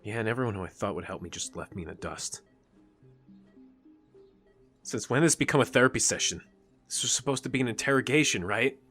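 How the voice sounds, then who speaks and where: A man's voice: medium pitch, a little fast, long pauses, a bit bright, soft background music, natural voice. A man playing a bitter, stubborn, sarcastic injured teenage boy in an audio drama, sullen and grumbling. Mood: bitter, weary.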